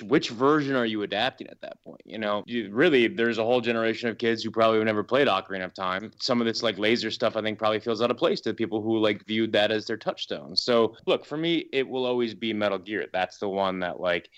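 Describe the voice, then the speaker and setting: A man's voice: fairly low pitch, a bit bright, clean tone. A man in a casual podcast discussion as a guest filmmaker, rambling with lots of "like" and speaking in an opinionated, emphatic, casually sweary way. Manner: rambling, hedging with frequent "like".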